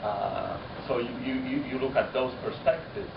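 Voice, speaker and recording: A man whose first language is Polish, speaking as a translator at a panel discussion, thoughtful and halting, often rephrasing as he goes. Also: mid-range voice, gravelly. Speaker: poet-translator. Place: university common room. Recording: echoey room, distant mic, muffled, noisy.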